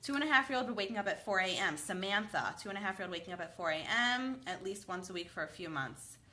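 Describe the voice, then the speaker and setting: A woman in her thirties with a bright voice and a slightly stuffy, cold-struck sound. She talks in a chatty, upbeat way, calling out viewers by name as she answers parents' questions live. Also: medium pitch, lively intonation, fast. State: congested from a cold, sniffling. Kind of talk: live Q&A, reading comments aloud.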